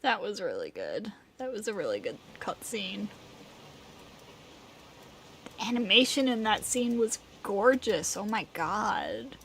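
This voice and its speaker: A woman streaming a video game, reacting with excited, effusive comments. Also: medium pitch, normal speed, slight background noise. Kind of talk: live gameplay commentary.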